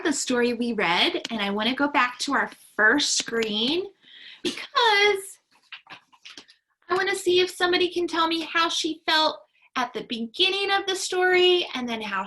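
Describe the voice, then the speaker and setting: High, bright woman teacher talking to children. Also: a little hiss or hum, poor audio. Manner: exaggerated, sing-song child-directed delivery with drawn-out key words; encouraging, praising young learners. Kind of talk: read-aloud lesson for young children on video.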